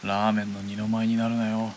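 A man acting a wry, level-headed young army spotter in a Japanese audio drama, rough-spoken and quick to retort. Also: on the low side, monotone, a little mellow, slight background noise, natural voice. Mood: wry, composed.

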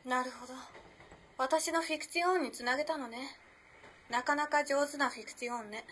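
A refined, self-possessed young lady of the early twentieth century. Her highish voice is composed and knowing, with old-fashioned phrasing. The radio-drama recording has noticeable noise. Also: a little fast, a bit bright. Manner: composed, elegant, old-fashioned feminine speech. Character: poised, refined early-1900s modern young woman, knowing. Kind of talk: radio drama acting, storytelling.

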